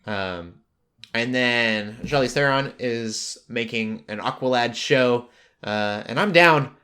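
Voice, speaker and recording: A man's voice: medium pitch, slow, bright, forceful tone. A man hosting a casual pop culture podcast, talking in a rambling way that drifts into tangents. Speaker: podcast host.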